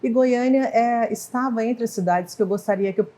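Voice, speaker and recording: A woman's voice: a little high, fairly quick, dark tone. A woman in her fifties from Brazil, telling her life story in an interview, rambling and correcting herself with short laughs. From Brazil (Goiás / northwest Minas Gerais). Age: 50s. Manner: rambling, hesitant with self-corrections and restarts; laughs lightly at her own slips. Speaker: professor of pharmacy, interviewee. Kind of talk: oral history interview.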